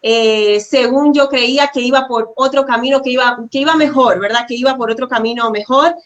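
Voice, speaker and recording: A woman speaks in a fairly high voice as a guest. She gives personal testimony courteously and devoutly, conversational, often checking in with little tag questions. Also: a little fast, a bit bright, lo-fi sound. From Venezuela. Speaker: guest, pastor's wife.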